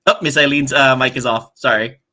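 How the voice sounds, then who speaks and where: A man's voice: medium pitch, fairly even intonation, fairly quick, bright, forceful tone. A man hosting a casual gaming news chat in a joking, chatty manner. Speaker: gaming show host.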